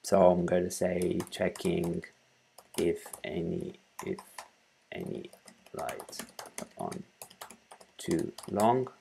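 A man in his thirties giving an informal step-by-step software tutorial, haltingly, thinking aloud as he works. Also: deep voice, vocal fry, a little hiss or hum. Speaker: tutorial presenter. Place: small room at home, indoors.

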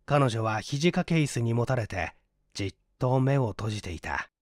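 A man's voice: mid-range voice, animated, moderate pace, no echo. A man reading literary fiction as a dramatic audiobook narrator in a close, dry recording. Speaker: narrator, story reader.

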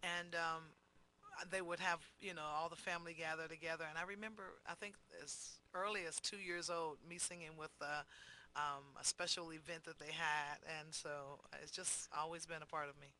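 A middle-aged woman from Cincinnati, a gospel singer talking about her music on a radio show, with a loud, boisterous voice and frequent laughter. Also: deep voice, fairly even intonation, normal speed, slight background noise, rough recording. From Cincinnati, USA. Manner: laughs often while talking. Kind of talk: radio interview. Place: small radio studio. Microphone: boom mic close up.

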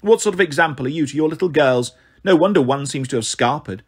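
A man voicing an indignant woman neighbour in a storybook, sharp-tongued, sarcastic and commanding. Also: a little high, lively intonation, quick pace. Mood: scornful.

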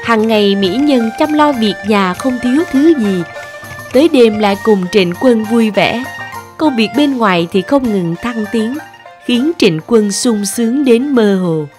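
A woman reading scripted narration for an animated folk tale. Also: a little high, a little slow, music in the background.

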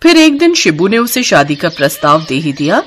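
Expressive woman storyteller, lively pitch. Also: moderate pace, slight background noise. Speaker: story narrator. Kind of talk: scripted storybook narration for cartoons.

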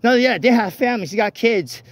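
A man rambling casually and haltingly into a handheld phone as he walks outdoors, often repeating his phrases. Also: very high voice, animated, husky, no room sound. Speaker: vlogger. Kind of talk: casual rambling walk-and-talk chat. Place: outdoors walking along a street at night. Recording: noisy outdoor phone, traffic ambience. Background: vehicles and street ambience.

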